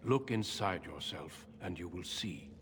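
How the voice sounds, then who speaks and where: A man voicing a calm, grave veteran human warrior in a video game, speaking in a measured, formal, courteous way. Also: low voice. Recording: echoey cave reverb, noisy ambience behind.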